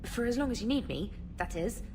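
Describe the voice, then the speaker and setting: A woman's voice: fairly high pitch, lively intonation, fairly quick, noisy background, close to an everyday voice. An actress playing a polite, slightly nervous female military communications specialist in a video game. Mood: nervous, earnest. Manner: polite, formal, slightly flustered. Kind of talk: video game character dialogue.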